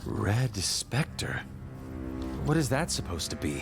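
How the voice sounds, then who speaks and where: A man's voice: lowish voice, very animated, slow pace, gravelly, full character voice. A man playing a prickly male chameleon ninja detective in an audio drama, speaking in a formal, guarded way. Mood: suspicious, guarded. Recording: music bed under the voice.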